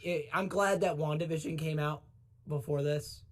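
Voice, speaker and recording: A man's voice: fairly high pitch, fairly even intonation, normal speed. A man in his twenties chatting casually as a panelist about comic book films. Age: 20s.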